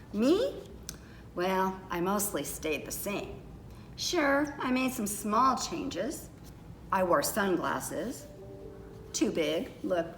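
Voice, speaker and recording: A woman reading a children's picture book aloud in an expressive way, with dramatic pauses and drawn-out emphasis. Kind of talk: animated storybook read-aloud for children. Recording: roomy echo with low background noise.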